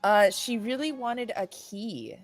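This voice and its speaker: A woman's voice: a little high, very animated, takes it slowly, faint music behind. A woman playing a tabletop game, chatting informally and somewhat hesitantly as she recounts things in character. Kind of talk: casual chat, in-game recounting.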